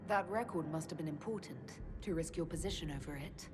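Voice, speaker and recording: Composed woman leader with lowish voice, acted. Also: natural voice. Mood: thoughtful. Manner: measured, questioning. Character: composed female inquisition leader, inquisitive and diplomatic. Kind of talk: fantasy video game dialogue. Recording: clear game music underneath.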